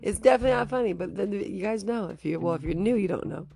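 A woman hosting her own podcast, speaking in a rambling, casual monologue with a raspy voice she is losing, and breaking into nervous laughs. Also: medium pitch, expressive, quick pace, over music. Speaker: podcast host.

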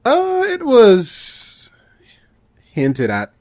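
Lively, swooping man in casual chat. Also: high voice, very slow, no room sound, clean studio sound. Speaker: podcast panelist.

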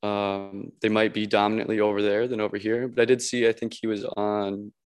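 A man's voice: fairly low pitch, flat delivery, fairly quick, clean tone. A young man hosting a podcast, talking casually and hesitantly with many fillers. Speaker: podcast host. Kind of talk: casual conversation.

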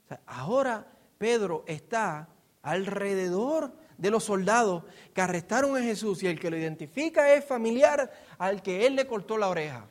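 A man's voice: high-pitched, animated, normal speed. A man with a Puerto Rican accent preaching in an informal, storytelling way, explaining scripture and asking rhetorical questions. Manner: informal, acts out quotes and asks rhetorical questions. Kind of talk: expository sermon, conversational storytelling.

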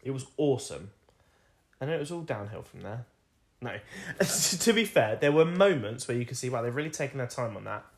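A man with a croaky voice, co-hosting a casual film review podcast and rambling through anecdotes with frequent ums and laughs. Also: mid-range voice, very animated, brisk. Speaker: podcast co-host.

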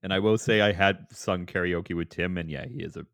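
A man's voice: a little fast, vocal fry, fairly bright tone, no echo, studio quality. A man hosting a podcast in casual chat, playful and teasing. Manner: playful, jumps up in pitch for jokes. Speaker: podcast host.

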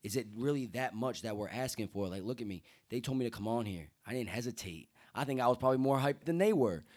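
An earnest young man in casual conversation. He talks as a guest, and his mid-range voice climbs into loud, excited stretches when he gets fired up. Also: medium pitch, fast talker. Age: young adult.

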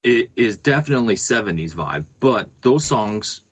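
A man's voice: medium pitch, animated, moderate pace, breathy edge, no room sound. A man chatting casually as a musician guest on a podcast over a remote call. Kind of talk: casual chat. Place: remote Skype call from Canada.

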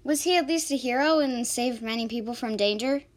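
A woman's voice: fairly high pitch, a little fast, natural voice. A woman playing a sassy, sceptical young woman in an amateur radio drama, sarcastic and indignant. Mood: indignant, puzzled.

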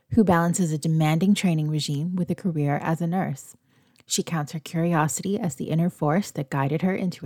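A woman reads a feature article aloud, her voice sitting low and steady. The pace is even and measured. It is recorded close and dry, with no room sound at all. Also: no echo. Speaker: reader of a magazine article.

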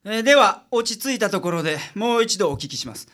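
A brisk, faintly world-weary male detective played by a man with a Kansai accent. His intonation swings widely as he handles clients in a businesslike radio-drama style. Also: sits high, lively intonation, fairly bright tone. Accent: Kansai Japanese. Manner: businesslike, exasperated, swinging delivery. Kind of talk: community radio drama acting.

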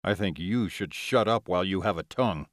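A man's voice: sits low, a little fast, no room sound, studio quality, close to an everyday voice. A man voicing a nonchalant, unflappable male vampire in an audiobook, curt and threatening. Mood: cool warning.

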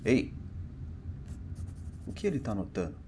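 An actor playing a distraught, nervous family man in an audio drama, pleading and stammering in a halting way. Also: on the low side, sing-song, darkish tone. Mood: uneasy relief. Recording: clearly noisy ambience under voice.

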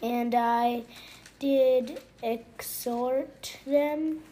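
A child reading scripture aloud haltingly, pausing mid-phrase and repeating words. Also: high voice, steady tone, warm tone. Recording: noisy home recording.

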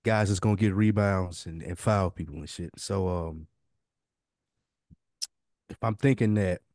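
A man's voice: low-pitched, measured pace, darkish tone. A man co-hosting a podcast in casual chat, slangy and emphatic, often repeating a phrase to drive it home. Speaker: podcast co-host.

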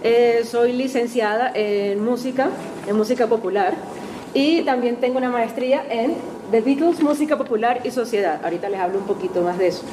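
Venezuelan woman guest speaker, bright-ish higher voice, chatty and self-mocking, noisy hall recording. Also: a little fast. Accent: Venezuelan Spanish. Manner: chatty, self-deprecating, with light laughs. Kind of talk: lecture talk to a class. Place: university auditorium.

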